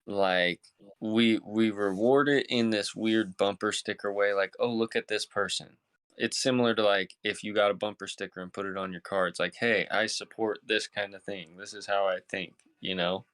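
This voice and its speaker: A man with a low, smooth voice hosts a casual podcast, recorded close and dry. His phrasing is laid-back and slangy, with the odd swear word. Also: fairly even intonation, normal speed, a bit bright, dry recording. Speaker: podcast host. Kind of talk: casual chat.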